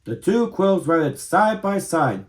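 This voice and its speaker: A man in his thirties reads a children's story aloud. His voice sits slightly high and moves in very lively rises and falls, as an expressive storyteller's does. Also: slow pace. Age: 30s.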